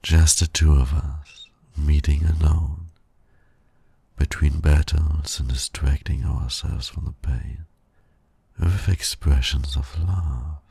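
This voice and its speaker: A man playing a suave, teasing supervillain in a flirtatious ASMR romance roleplay, gravelly and breathy, purring and often dropping to a whisper. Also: very deep voice, steady tone, slow pace, dark tone, dry recording, exaggerated voice. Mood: coaxing, seductive. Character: suave, teasing male supervillain courting his hero nemesis.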